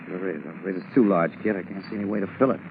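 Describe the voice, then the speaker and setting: An actor playing a shrewd, easygoing crime photographer with wry, slangy speech in a 1940s radio drama. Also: male voice, low voice, fairly even intonation, quick pace. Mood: thoughtful, wry. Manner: wry, plain-spoken, slangy. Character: shrewd easygoing male crime photographer turned amateur sleuth. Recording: muffled, noisy 1940s radio recording.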